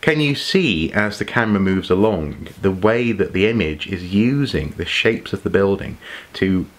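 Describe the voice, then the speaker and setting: A man's voice: sits low, lively intonation, normal speed. A man, talking casually to camera in an impromptu archaeology vlog, musing aloud with plenty of ums and repeated words. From England. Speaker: archaeology YouTuber. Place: home study with shelves of collectibles.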